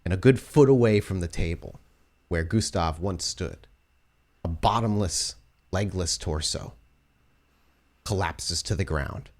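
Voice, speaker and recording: A man narrating a tabletop roleplaying game as storyteller, in a measured, descriptive and dramatic cinematic style. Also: deep voice, a little mellow. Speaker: game master, storyteller of an actual play podcast.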